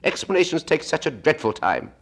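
Storybook Gryphon, man doing it: pompous, impatient, loud and high. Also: wildly swooping pitch, brisk, vocal fry, exaggerated voice.

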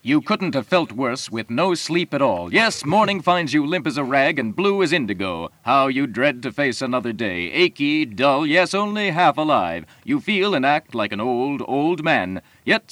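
Man acting a lively old-time radio pitchman. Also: no echo. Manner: florid, emphatic, salesmanlike. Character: sympathetic old-time male patent-medicine radio pitchman. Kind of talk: parody of vintage radio advertising.

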